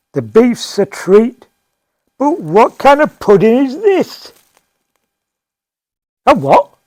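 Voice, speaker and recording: A man playing an indignant, fussy diner in a music-hall monologue, protesting emphatically with drawn-out words. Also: high-pitched, a little mellow, heavily acted. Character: indignant, fussy Yorkshire male diner, pudding purist.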